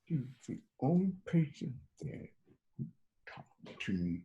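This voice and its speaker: A man acting a gentle, reserved bus driver in a screenplay table read, with an understated, halting delivery. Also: mid-range voice, big pitch swings, dull audio. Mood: quiet, reflective.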